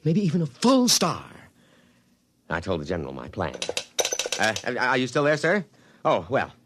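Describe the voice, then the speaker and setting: An actor playing a pompous, ambitious army colonel in a 1950s radio drama, speaking with clipped military formality. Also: male voice, medium pitch, huge pitch swings, moderate pace. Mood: gleeful scheming. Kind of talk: 1950s radio drama acting and first-person narration. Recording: vintage 1950s radio broadcast with music.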